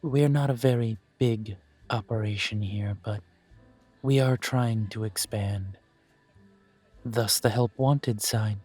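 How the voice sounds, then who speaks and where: A man voicing a courteous elven coffee shop owner who speaks in a polite, formal, gently self-deprecating way, with soft music playing behind him. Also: fairly low pitch, slow. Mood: gracious. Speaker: shop owner interviewing a job applicant. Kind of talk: scripted one-sided roleplay audio.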